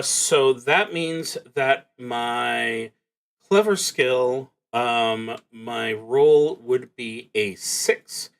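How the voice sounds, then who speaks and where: A man narrating a solo tabletop game on a livestream, thinking aloud in a casual, halting way with pauses mid-sentence. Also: medium pitch, a bit bright. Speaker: solo tabletop roleplaying streamer.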